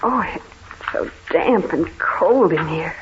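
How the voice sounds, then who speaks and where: Nervous fretting wife in old radio horror show, lots of hiss, muffled sound. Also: female voice, deep voice, lively intonation, slow. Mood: uneasy. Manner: fretful, questioning, urgent. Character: anxious woman traveler, wife of a snappish husband.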